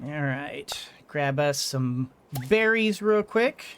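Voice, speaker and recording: A man giving casual let's play commentary, rambling and thinking out loud as he goes. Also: a little high, lively intonation, slow, slight background noise. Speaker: gaming video host.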